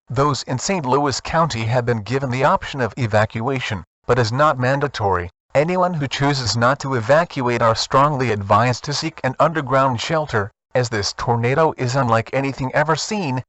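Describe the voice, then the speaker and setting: A man's voice: medium pitch, a bit bright, no room sound, close to an everyday voice. A man playing a solemn emergency newsreader, reading scripted disaster warnings in a formal, grave manner. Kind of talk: scripted emergency broadcast narration.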